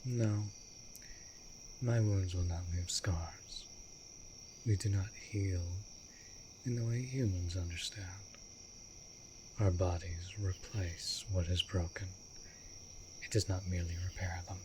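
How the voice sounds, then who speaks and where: A man voicing a wounded, courtly vampire in an intimate fantasy audio drama, tender and protective, speaking formally and in a hushed way. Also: deep voice, fairly even intonation, slow pace, pauses often, background noise. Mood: tender, teasing. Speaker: voice actor in a romantic audio roleplay.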